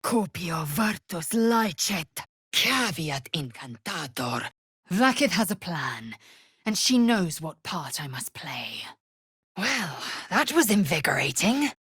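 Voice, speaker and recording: An actress voicing a fierce, proud alien warrior woman in a video game, husky and clipped, with a haughty formal delivery. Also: on the low side, sing-song, takes it slowly, fairly bright tone, no echo, studio quality, exaggerated voice. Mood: haughty, measured. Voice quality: husky, breathy rasp. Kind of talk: video game character acting.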